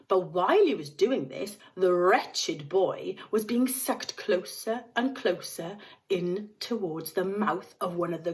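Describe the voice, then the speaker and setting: A woman, a teacher reading aloud, narrating a children's story expressively. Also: medium pitch.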